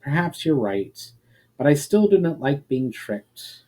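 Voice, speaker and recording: Man acting as male elf hero, fantasy storybook, proud, stubborn, emphatic, mellow timbre. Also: a little high, sing-song, unhurried. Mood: resigned, determined. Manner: indignant, emphatic.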